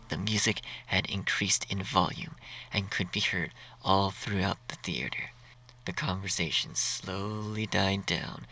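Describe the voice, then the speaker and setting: A man's voice: sits low, sharp tone, rough recording. A man reading a scripted story as its narrator.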